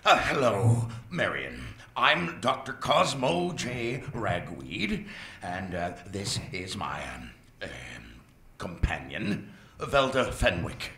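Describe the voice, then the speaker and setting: An actor playing a sinister, scheming older male mad scientist who talks in a slow, sly drawl with purring pauses. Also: fairly low pitch, big pitch swings, vocal fry, bright, forceful tone, heavily acted. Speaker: research scientist. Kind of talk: amateur radio-play acting. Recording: roomy echo, faint hiss.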